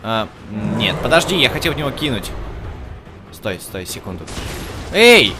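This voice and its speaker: A man's voice: mid-range voice, sing-song, moderate pace, noisy background. A man giving casual let's play commentary as host, chatting to the game's characters and to his viewers. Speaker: gaming video host.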